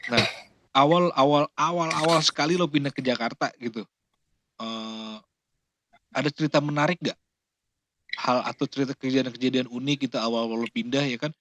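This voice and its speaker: A man's voice: medium pitch, fairly quick, pauses often, fairly bright tone. A man hosting a podcast interview. He chats casually, exclaims often and laughs a lot. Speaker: podcast host.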